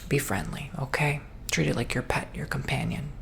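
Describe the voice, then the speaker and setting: A woman playing an easygoing, reassuring salesperson in an ASMR roleplay, soft-spoken and partly whispering in an informal, rambling way. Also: a bass voice, normal speed, vocal fry, a little hiss or hum, exaggerated voice. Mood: gently cautioning, reassuring. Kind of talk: ASMR roleplay, improvised customer-service chat.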